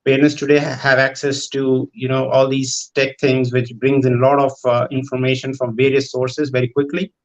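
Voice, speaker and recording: Dull, lo-fi audio of a man with a mid-pitched voice. He is answering off the cuff as a parent on a discussion panel. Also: level tone, normal speed, few pauses.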